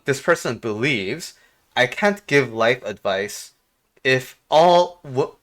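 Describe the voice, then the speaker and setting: Man with lively, swooping intonation. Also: medium pitch. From South Korea. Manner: halting, frequent pauses and rhetorical questions. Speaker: life coach and video host. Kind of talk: casual reflective commentary to viewers.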